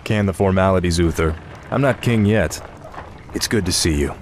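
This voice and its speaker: An actor playing a confident, dutiful young warrior prince, informal and earnest, in a fantasy game. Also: male voice, sits low, moderate pace, slight background noise, natural voice. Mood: easy, friendly. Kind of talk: fantasy game dialogue acting.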